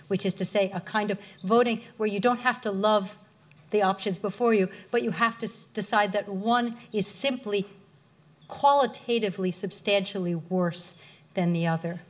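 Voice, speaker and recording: A woman lecturer answering audience questions in an articulate, measured way. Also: mid-range voice.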